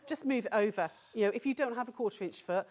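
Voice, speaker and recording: A woman with a croaky voice from a cold, demonstrating quilting step by step on a live show. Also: fairly high pitch, fast, background noise. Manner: patient step-by-step instruction with frequent "okay?" checks. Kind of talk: sewing demonstration. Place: TV studio.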